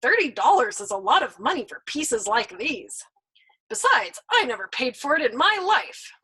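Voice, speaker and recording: A woman voicing a smug, pudgy young male airman who boasts loudly. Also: high voice, big pitch swings, normal speed, slightly rough, full character voice, low-quality recording. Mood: boastful.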